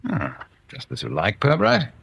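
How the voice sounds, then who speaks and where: A man's voice: medium pitch, huge pitch swings, measured pace, creaky, fairly bright tone, slightly rough, full character voice. A man playing a stuffy, evasive English chief constable in a radio drama, speaking formally and pompously. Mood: stiffly resigned. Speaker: actor playing a senior police officer.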